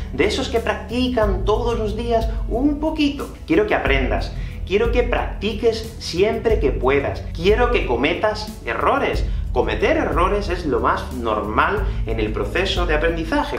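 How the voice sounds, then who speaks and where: A Spanish man in his thirties teaching Spanish to camera, lively and gesturing, repeating phrases for learners. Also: high-pitched, roomy sound. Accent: Spanish from Spain. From Spain. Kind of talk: YouTube language lesson to camera. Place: small room with whiteboard and shelves, indoors.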